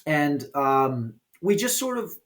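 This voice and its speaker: Higher-pitched man chatting in an interview. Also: sing-song, takes it slowly, a bit bright. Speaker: guest, indie game developer and producer. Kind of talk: casual interview talk.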